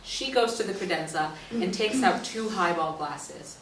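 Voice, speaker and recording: A woman's voice: fairly low pitch, animated, unhurried. A woman reading stage directions as the narrator of a staged play reading. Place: indoor stage reading, mic at a distance. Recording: roomy distant stage recording, faint noise.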